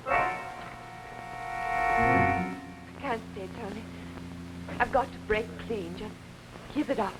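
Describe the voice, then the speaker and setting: A woman's voice: medium pitch, normal speed, over loud music, natural voice, low-quality recording. A woman acting as an emotional, clinging young pianist in 1950s television melodrama. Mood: wounded, pleading.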